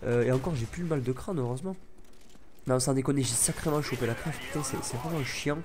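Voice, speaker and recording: A man's voice: medium pitch, fast, a little mellow. Young guy, head cold, rambling gameplay commentary with faint noise under it. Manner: rambling, chatty, many restarts and fillers. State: stuffy head cold, sniffling. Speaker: gaming YouTuber.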